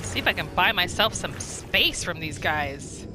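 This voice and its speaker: A woman's voice: fairly low pitch, lively intonation, unhurried, music in the background. A woman giving casual game commentary on her roleplay playthrough, thinking aloud and exclaiming readily. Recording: clear noise behind the voice.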